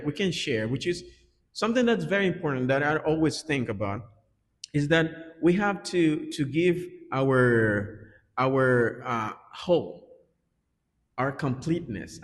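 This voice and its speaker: Male English teacher being interviewed, Dominican Spanish accent, mid-pitched voice, earnest explainer, roomy reverberant sound. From Dominican Republic. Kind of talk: conversational interview answers. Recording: echoey reverberant room.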